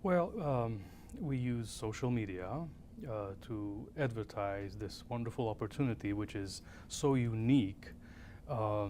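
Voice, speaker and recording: Lowish-voiced male professor interviewed. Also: a little mellow, slight background noise. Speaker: viola professor and quartet coach. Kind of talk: interview answers.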